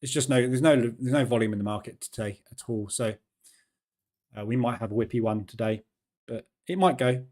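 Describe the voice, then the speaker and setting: Male host of a live trading room, relaxed and warm in tone, talks it through conversationally. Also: medium pitch, brisk. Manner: chatty, explains plainly with "yeah" tag questions.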